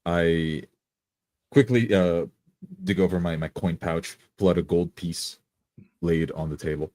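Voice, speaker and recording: Low-pitched man, close dry sound. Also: fairly even intonation, a little slow, a little mellow, no room sound. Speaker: tabletop game player. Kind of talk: improvised first-person roleplay narration.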